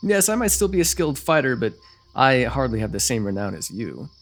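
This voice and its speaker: A man's voice: mid-range voice, big pitch swings, a little fast, fairly bright tone, faint music behind. A man playing a teasing, lovestruck royal ruler with courtly, formal phrasing in an ASMR fantasy roleplay. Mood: playful, admiring.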